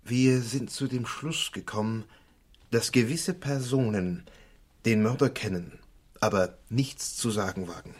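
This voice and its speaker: An actor playing a shrewd, measured provincial police commissioner in a radio crime drama, deliberate and pointed. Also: male voice, medium pitch, breathy edge. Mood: grave, pointed.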